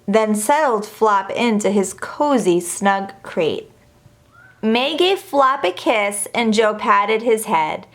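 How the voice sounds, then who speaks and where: A woman reading a rhyming children's picture book aloud in an expressive, deliberate storytelling manner. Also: medium pitch. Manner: measured, deliberate storytelling delivery. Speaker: storybook reader.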